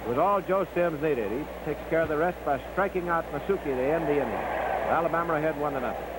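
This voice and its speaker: A man's voice: on the high side, fast talker, heavy background noise. A man narrating baseball play-by-play in 1950s newsreel style, on an old recording.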